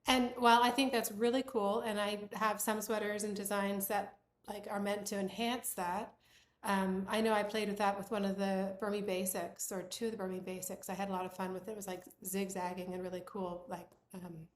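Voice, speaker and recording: Chatty knitting lady from bermuda, bit croaky, rambles with lots of ums, echoey room at home. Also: medium pitch, flat delivery, moderate pace. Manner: chatty, rambling with many fillers. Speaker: knitting podcast host. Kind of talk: casual chat. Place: room at home with doors closed.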